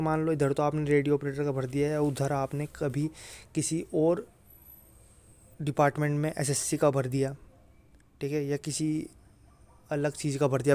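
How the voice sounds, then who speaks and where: A man's voice: fairly high pitch, darkish tone. A man giving an informal explainer to students as a channel host, reassuring and often adding little check-in questions. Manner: reassuring, repeatedly checks understanding with tag questions. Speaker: exam-prep channel host.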